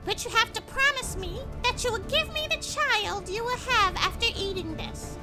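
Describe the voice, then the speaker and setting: A woman voicing a wicked, commanding witch in a children's fairy tale, shrill and scolding. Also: expressive, normal speed, music in the background. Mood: demanding. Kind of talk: children's storybook character voice.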